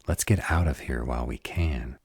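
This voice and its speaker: A man's voice: rumbling low, moderate pace, slightly rough, dry recording, studio quality, heavily acted. An actor playing a sarcastic but protective male were-dragon in an audio roleplay, muttering sardonic asides. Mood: flustered.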